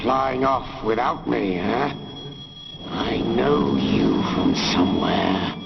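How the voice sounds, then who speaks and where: A man's voice: on the low side, big pitch swings, takes it slowly, creaky, heavy background noise, exaggerated voice. A man playing a menacing, gloating video game villain who taunts in a drawl. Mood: mocking, sinister. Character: menacing, gloating villain piloting a war machine. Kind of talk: video game cutscene acting. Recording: muffled, loud music behind.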